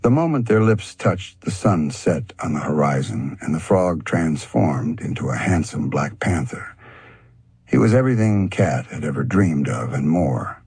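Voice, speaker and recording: Deep, very husky male storyteller. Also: animated, unhurried, a little mellow, no echo. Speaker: audiobook narrator. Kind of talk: scripted storybook narration.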